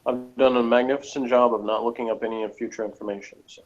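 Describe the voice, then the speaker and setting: A man's voice: medium pitch, steady tone, fast talker, highs cut off. A man co-hosting a review show, giving his opinions in a casual, conversational way. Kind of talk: casual episode review chat.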